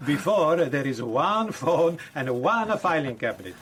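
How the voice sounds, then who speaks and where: An actor playing an earnest, matter-of-fact Italian police officer in a radio drama, speaking deliberate, slightly stilted English. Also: male voice, on the high side, sing-song, a bit bright. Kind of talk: naturalistic radio drama acting.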